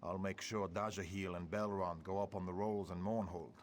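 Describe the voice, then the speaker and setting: An actor playing a gruff, weary centurion in a video game, plain-spoken and wry. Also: male voice, sits low, steady tone, moderate pace, a little hiss or hum, lightly acted. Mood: wry, relieved. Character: gruff male soldier, a centurion and family man, weary. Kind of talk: video game dialogue acting.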